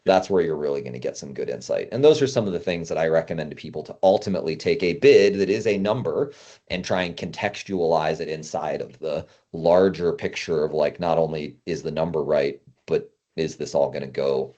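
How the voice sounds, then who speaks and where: Deep-voiced, mellow man in casual conversation, muffled audio. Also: fairly quick. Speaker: podcast guest, climbing gym consultant.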